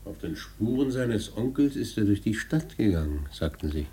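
Scheming businessman, very low voice, disdainful, commanding, self-assured, vintage radio drama. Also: sing-song, normal speed, mellow, breathy edge, heavily acted. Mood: contemptuous, calculating. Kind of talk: 1950s German radio play acting. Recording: 1950s radio drama recording.

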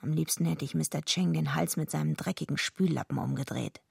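A woman's voice: deep voice, a little fast, vocal fry, a little mellow, slightly rough, no echo, studio quality, exaggerated voice. An actress playing a naive but tough young female wrestler in a radio drama, plain-spoken and slangy as she tells and acts out her story. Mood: rueful, grumbling. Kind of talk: radio drama acting and first-person narration.

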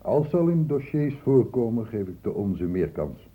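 An actor playing a tactful, earnest senior doctor in a radio drama, speaking in a measured, articulate, reasoning way. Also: male voice, mid-range voice, sing-song, reverberant, narrow, tinny audio, lightly acted. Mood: brisk, professional. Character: tactful, earnest male doctor heading a department.

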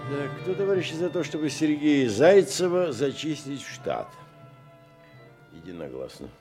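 An actor playing a gruff, decisive police chief running a meeting in a radio drama. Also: male voice, on the high side, big pitch swings, normal speed, music in the background. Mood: brisk, wry.

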